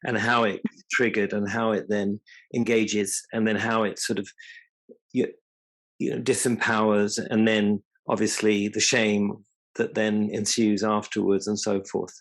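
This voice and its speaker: A man's voice: fairly low pitch, flat delivery, a little slow, fairly bright tone. A man asking a question in a rambling, hesitant way, full of fillers and restarts. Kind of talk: casual discussion.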